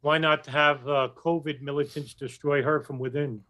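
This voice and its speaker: A man speaking as a guest on a casual podcast interview. He talks in a measured, hesitant way with frequent pauses. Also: on the high side, fairly even intonation, sharp tone.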